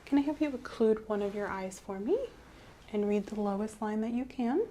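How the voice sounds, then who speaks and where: A woman with a mellow, dark-toned voice at an average pitch plays a medical examiner in soft ASMR style. She explains each step gently and reassuringly. A steady background noise sits behind her clip-on mic. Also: moderate pace. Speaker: examiner in a medical role-play. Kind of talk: soft-spoken ASMR role-play of a medical exam.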